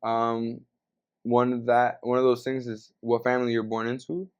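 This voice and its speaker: A young man from Venezuela hosts a casual podcast. His voice is smooth and clear at a middle pitch, and he talks in a relaxed, slangy way, musing aloud with plenty of fillers. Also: moderate pace, dull audio. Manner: laid-back, slangy, thinks aloud with fillers. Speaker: podcast host. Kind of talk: casual chat.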